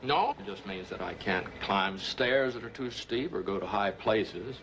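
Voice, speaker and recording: An actor playing a hard-headed retired police detective in a 1950s film drama, dry, blunt and conversational. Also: male voice, on the low side, lively intonation, a little slow, muffled recording. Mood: skeptical. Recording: old film soundtrack, clear background noise.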